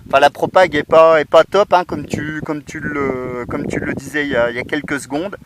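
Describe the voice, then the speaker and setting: A man's voice: fairly high pitch, fairly even intonation, brisk, crowd noise, poor audio. A man, an amateur radio operator, chatting casually outdoors into a portable set's microphone. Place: outdoors in a field under a tree. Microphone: portable radio transceiver microphone.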